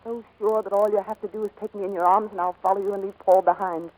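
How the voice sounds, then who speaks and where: A woman's voice: mid-range voice, fairly even intonation, fast talker, darkish tone, lightly acted, poor audio. A woman acting a proud, guarded American aviator in dramatic, emotionally intense 1940s radio style. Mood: wounded, defiant.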